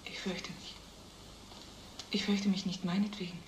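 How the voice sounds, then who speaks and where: A woman's voice: fairly low pitch, flat delivery, unhurried, whispered, natural voice. An actress playing an anxious, strict mother and housekeeper, earnest and plain-spoken. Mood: worried. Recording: echoey film sound, faint background noise.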